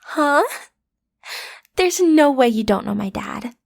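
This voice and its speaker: A woman's voice: fairly high pitch, animated, slow pace, creaky, full character voice. A woman playing a cute teenage girl who is training to be a supervillain, sassy and theatrical but a little awkward, in a roleplay audio drama. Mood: sheepish, reassuring. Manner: theatrical, sassy, hesitant when breaking character.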